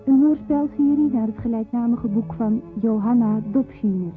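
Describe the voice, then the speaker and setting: A woman's voice: a little high, normal speed. Woman announcer, scripted radio introduction, faint music, muffled phone-like sound.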